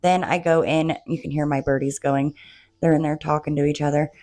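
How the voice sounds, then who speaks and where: A woman's voice: sits low, little pitch movement, brisk, warm tone, dry recording. A woman narrating a DIY home decor tutorial in a chatty, casual way, talking to viewers as "you guys", while coming down with a cold and losing her voice.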